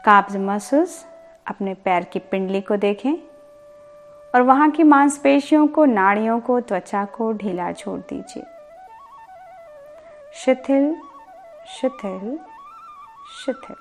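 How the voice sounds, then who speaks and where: A woman guiding a sleep meditation as a yoga instructor, in a calm, soothing and deliberate manner with pauses and repeated words. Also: on the high side, soft background music.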